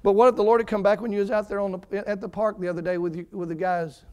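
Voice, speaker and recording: A man in his forties preaching a fervent sermon as pastor, building on repeated phrases and swinging between quiet and loud passages. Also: high-pitched, level tone, racing, clean tone. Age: 40s.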